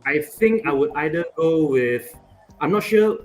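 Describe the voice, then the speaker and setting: A man whose first language is Chinese, a guest on a casual podcast, explaining his choices at length in a deliberate way over a video call. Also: a little high, animated, a little mellow, soft background music, poor audio. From Asia. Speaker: panel guest.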